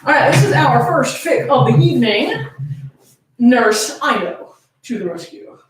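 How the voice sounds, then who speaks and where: A woman's voice: high voice, very animated, a little slow, slight background noise. A woman hosting a fan fiction reading, playful and sweary, moving between casual teasing banter and dramatic read-aloud. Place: indoors, echoey room, camera on tripod. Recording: very echoey room, distant from mic.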